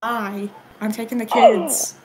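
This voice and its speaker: A woman's voice: fairly high pitch, low-quality recording. A woman improvising a joking cartoon fandub in a giggly, theatrical manner. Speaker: amateur fandub voice actor.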